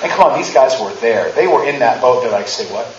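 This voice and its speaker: A man's voice: mid-range voice, lively intonation, a little fast, a little hiss or hum. A man in his thirties giving a casual, humorous sermon in a church sanctuary, acting out quotes with rising emphasis. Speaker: preacher. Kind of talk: conversational sermon. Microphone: handheld mic, far camera. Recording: roomy, distant, dull church recording.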